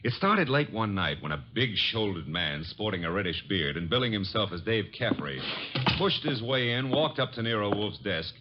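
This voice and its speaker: An American actor playing a brisk, cheeky detective's assistant who also narrates a 1940s radio mystery. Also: male voice, lowish voice, very animated. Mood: dramatic narration. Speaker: narrator and sidekick. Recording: noisy, muffled vintage radio recording.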